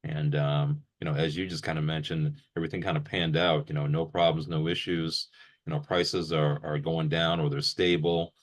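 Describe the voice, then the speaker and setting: A man's voice: extremely low pitch, level tone, moderate pace. A man hosting a gear show, chatting casually and agreeably as an interviewer and often repeating his phrases.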